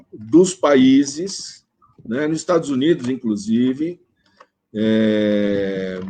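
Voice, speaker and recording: A man from Brazil with a Brazilian Portuguese accent, speaking at length as a panel guest in a live online conversation, with many fillers and pauses. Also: mid-range voice, a little mellow. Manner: rambling, hesitant, many fillers. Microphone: video call.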